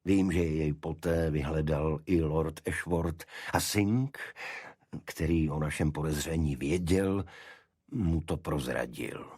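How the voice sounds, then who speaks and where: A male narrator puts on a secretive senior official in a detective audiobook. He is formal and evasive, explaining carefully in a low, husky voice with a very dry sound. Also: a little mellow, no room sound. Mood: guarded recollection.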